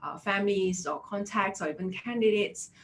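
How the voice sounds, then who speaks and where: A woman's voice: mid-range voice, normal speed, slight background noise. Malaysian woman coaching a career webinar. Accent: Malaysian English. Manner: chatty, filler-heavy, affirming with "yeah" and "right?". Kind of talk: webinar presentation.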